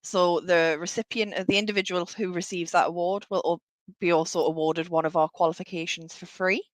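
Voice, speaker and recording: A woman co-hosts a live online show in a friendly, brisk way. Her voice sits fairly low for a woman. The sound is dry and close, with no room echo. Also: steady tone. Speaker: co-host. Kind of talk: live webcast chat.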